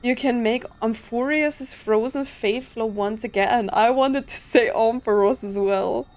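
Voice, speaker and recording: A woman's voice: on the high side, measured pace, darkish tone. A woman streaming a video game with casual playthrough commentary, sometimes reading on-screen lines aloud.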